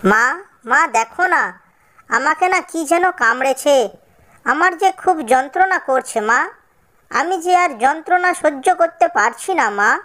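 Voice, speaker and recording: A man voicing a suffering little girl bird in a cartoon, with plaintive, drawn-out calls. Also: very high-pitched, animated, sharp tone, voice pushed up, full character voice. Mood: pained, pleading.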